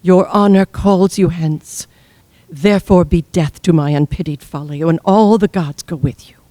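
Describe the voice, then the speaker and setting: A woman's voice: on the low side, dark tone, dry recording, natural voice. An actress playing a proud, lovesick, volatile queen in a classical Shakespearean stage style, declaiming with theatrical hurt. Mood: halting, sorrowful. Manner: declamatory, mercurial, deliberate dramatic delivery.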